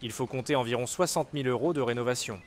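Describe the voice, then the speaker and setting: A man reading everyday French dialogues for learners, with clear, measured scripted delivery. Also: medium pitch, natural voice.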